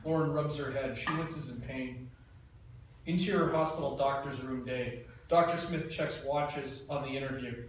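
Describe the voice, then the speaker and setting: A man reading a screenplay's stage directions aloud in a plain, even way. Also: medium pitch, moderate pace, distant mic. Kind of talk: reading screenplay action lines. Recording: echoey room, mic from afar, faint noise.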